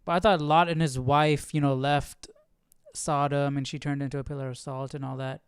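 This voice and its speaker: A man hosting a Bible study, reading aloud casually and haltingly, thinking aloud in asides. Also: a little high, little pitch movement, smooth, no room sound, clean studio sound.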